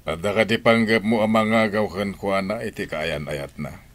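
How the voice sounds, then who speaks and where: A man's voice: lowish voice, moderate pace, fairly bright tone, natural voice. An actor with an Ilocano Filipino accent playing an older, prodding and suspicious father in a radio drama. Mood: prodding, disapproving.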